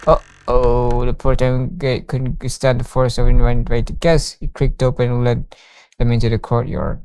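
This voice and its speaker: Creaky-voiced man streaming a game. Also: mid-range voice, flat delivery, moderate pace, a little mellow, dry recording. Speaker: streamer reading game text aloud. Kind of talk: live game playthrough, reading dialogue and reacting.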